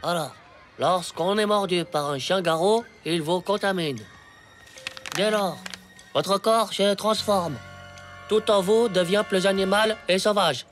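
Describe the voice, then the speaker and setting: An actor playing a brash, boastful ten-year-old schoolboy in a French cartoon, with slangy, excitable delivery. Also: medium pitch, measured pace, faint music behind, close to an everyday voice. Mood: eager.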